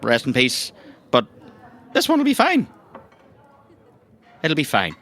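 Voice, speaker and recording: An actor playing a chatty, thirsty agency boss in a comedic audio drama, with a Northern Irish accent and a rambling, hesitant, colloquial way of talking. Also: male voice, fairly high pitch, huge pitch swings, slow pace, a bit bright, exaggerated voice. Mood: reassuring. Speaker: mission giver briefing recruits.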